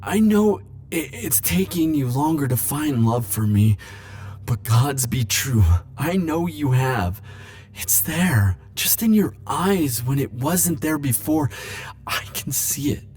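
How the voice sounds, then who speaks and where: Guy playing a lovesick immortal romantic, dark mellow voice, super slow, breathy n pleading, asmr roleplay. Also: on the high side, animated, slight background noise. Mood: pleading, yearning. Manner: breathy pleading, hesitant, drawn-out tender phrasing. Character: lovestruck immortal man, hopeless romantic, yearning and tender.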